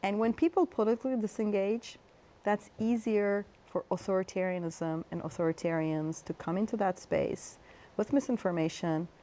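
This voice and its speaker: A woman's voice: medium pitch, normal speed, dark tone. Thoughtful woman from Turkey, explaining. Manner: thoughtful, explanatory, with fillers and restarts. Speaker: guest scholar. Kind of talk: interview answers.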